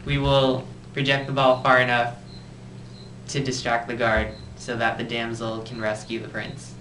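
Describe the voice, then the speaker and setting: A man's voice: medium pitch, monotone, unhurried, a bit bright. Male physics explainer, scripted delivery, echoey amateur sound with faint noise. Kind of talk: scripted educational narration. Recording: roomy, distant, noisy amateur recording.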